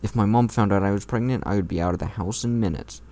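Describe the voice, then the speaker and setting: A man's voice: fairly low pitch, fast talker, dark tone, no echo. A man hosting a mocking fan fiction read-aloud, with casual, irreverent, profane asides.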